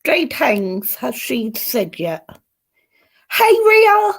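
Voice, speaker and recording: A woman's voice: sits high, wildly swooping pitch, very slow, gravelly, fairly bright tone, husky. An English woman hosting a casual live stream, chatting with viewers by name and giving card readings. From England. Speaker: host and card reader.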